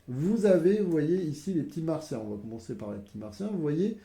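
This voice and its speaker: A man's voice: on the high side, lively intonation, fairly quick, gravelly, warm tone, reverberant. A man with a cold, explaining board game rules casually to viewers, hesitant and full of fillers. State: has a cold.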